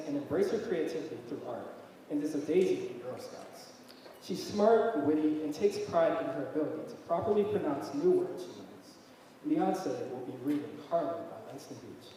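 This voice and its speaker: A man's voice: fairly high pitch, dark tone. Upbeat man emceeing in an echoey hall. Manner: upbeat, hypes up the crowd. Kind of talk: introducing student performers. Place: school auditorium. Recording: echoey hall from far off, noisy.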